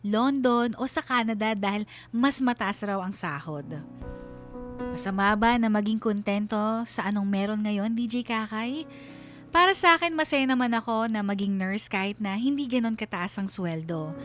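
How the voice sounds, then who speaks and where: A Filipino woman narrates a personal story in a scripted, dramatic style. Her pitch is average for a woman. Soft music plays behind her. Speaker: narrator reading a letter sender's story.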